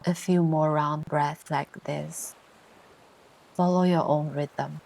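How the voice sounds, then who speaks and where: A woman narrating a guided meditation in a soothing, gentle way, with long pauses between short instructions. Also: low-pitched, steady tone, creaky, low-quality recording. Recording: ocean surf sounds behind the voice. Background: ocean waves ambience.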